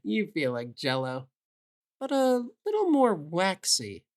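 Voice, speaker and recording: A woman voicing a gentle, curious young male witch with a soft, casual way of talking in an audio drama. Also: fairly low pitch, wildly swooping pitch, takes it slowly, heavily acted. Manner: soft-spoken, kindly, casual. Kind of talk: audio drama character acting.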